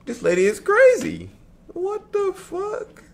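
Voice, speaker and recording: Young male streamer, slow and swooping, casual swearing asides, small room with light hiss. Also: extremely high pitch, wildly swooping pitch, vocal fry. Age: young adult. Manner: loose, profane, joking asides. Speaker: reaction streamer. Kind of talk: casual reaction commentary. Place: small dim room indoors.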